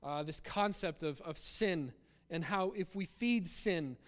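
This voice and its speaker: A man's voice: a little high, takes it slowly. A man preaching a sermon in a conversational but emphatic way, repeating phrases for stress. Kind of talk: sermon with acted-out example quotes. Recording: long hall reverb.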